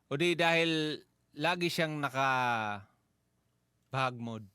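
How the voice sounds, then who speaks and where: A man's voice: a little high, takes it slowly, clear, resonant tone, no room sound, studio quality. A man with a Filipino accent, a radio host telling a comic story in playful, teasing banter that breaks easily into laughter. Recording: music playing behind the voice. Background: loud music bed.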